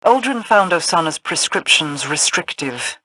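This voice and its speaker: Video game monarch, imperious and articulate, measured formal phrasing, forward tone on the higher side. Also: male voice, lively intonation, pushed and bright, no room sound, heavily acted. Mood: cool, proud. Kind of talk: formal scripted game dialogue.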